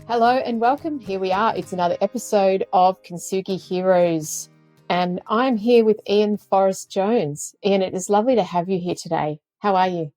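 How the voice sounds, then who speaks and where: A woman hosting a podcast, earnest and gentle as she introduces and encourages her guest. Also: medium pitch, normal speed, no room sound, faint music behind. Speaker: podcast host.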